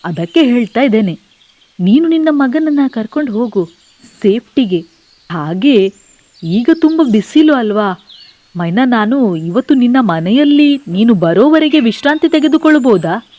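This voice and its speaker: A woman voicing a sly, animated scheming crow. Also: fairly high pitch, a little slow. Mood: wheedling. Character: scheming male crow after the myna's house. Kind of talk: animated children's bedtime story.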